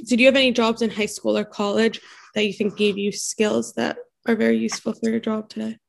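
Creaky-voiced woman asking questions. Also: fairly high pitch, level tone, brisk. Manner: short direct questions. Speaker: interviewer. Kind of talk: interview questions.

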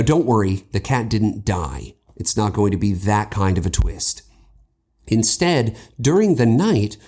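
A man's voice: on the low side, mellow, husky. A man hosting a podcast, introducing a horror story in a conversational style. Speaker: podcast host.

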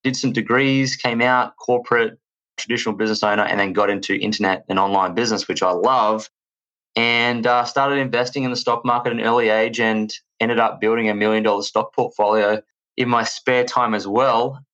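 A man in his thirties with an Australian accent, a podcast guest telling stories in an energetic, rambling way. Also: fairly low pitch, fairly quick, muffled recording. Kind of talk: casual chat.

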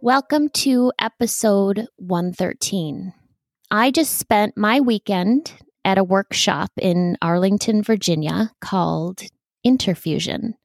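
A woman's voice: medium pitch, slow, no room sound, clean studio sound. A woman hosting a podcast, speaking personally to listeners and putting questions to a guest. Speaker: podcast host. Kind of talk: personal podcast introduction and interviewing.

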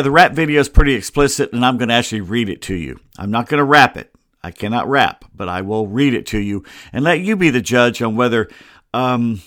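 A man's voice: mid-range voice, fairly bright tone, no echo, studio quality. An American man hosting a solo sports show in a casual, grumbling and wry way, with rambling asides. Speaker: sports podcast host. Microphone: close computer microphone.

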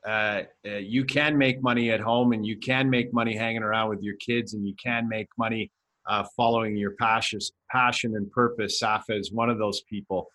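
A man's voice: lowish voice, level tone, moderate pace, bright, forceful tone, rough recording. A man hosting a podcast, introducing his guest enthusiastically with some hesitant uhs. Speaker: podcast host. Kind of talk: casual interview chat.

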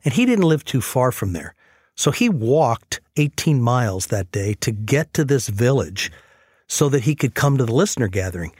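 A man with a mid-pitched voice tells stories in an earnest, reflective way. He sounds like a conversational radio host, recorded dry and close. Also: sing-song, moderate pace, darkish tone, slightly rough, no echo. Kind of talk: conversational storytelling on a faith talk show.

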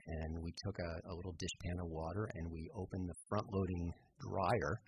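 Very deep, gravelly American man. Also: flat delivery, moderate pace. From eastern United States, Long Island. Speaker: radio theater producer and guest. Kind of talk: casual storytelling in an interview. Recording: clearly noisy talk recording with clicks.

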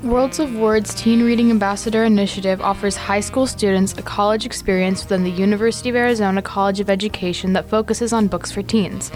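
A woman's voice: medium pitch, steady tone, fast, few pauses, darkish tone, soft background music. A young woman in her teens, speaking as a panelist on a book podcast. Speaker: teen reading ambassador, podcast panelist. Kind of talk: scripted reading and casual discussion.

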